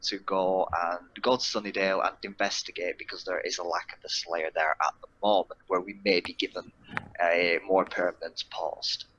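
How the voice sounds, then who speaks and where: A man's voice: low-pitched, little pitch movement, moderate pace, a bit bright, lo-fi sound, slight background noise. A man chatting casually as a player in a tabletop roleplay game, hesitant and restarting his sentences.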